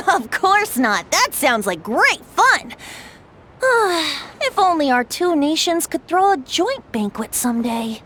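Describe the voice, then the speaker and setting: A woman's voice: sits high, big pitch swings, slow, fairly bright tone, slight background noise, heavily acted. A woman playing a cheerful, teasing, boyish wandering bard in a video game, with a playful, theatrical delivery. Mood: cheerful, wistful.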